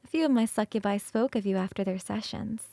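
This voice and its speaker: A woman voicing an ancient succubus queen for ASMR roleplay, tender and teasing, speaking slowly and intimately, often near a whisper. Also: mid-range voice, creaky, dark tone, dry recording, close to an everyday voice.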